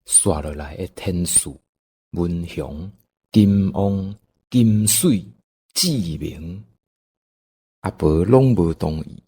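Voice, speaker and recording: A man's voice: sits low, slow pace, pauses often, dark tone, breathy edge. A man with a Taiwanese accent narrating a scripted radio drama as a storyteller.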